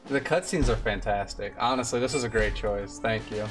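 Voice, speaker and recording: Young adult man, low voice, laid-back game streamer, informal explanations, loud music behind. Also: normal speed, distant mic. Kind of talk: casual gameplay commentary. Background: loud game music and gunfire.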